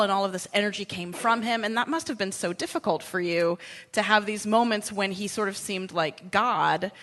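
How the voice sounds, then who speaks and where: A woman's voice: mid-range voice, a bit bright. A woman hosting a radio interview, asking questions in a conversational way.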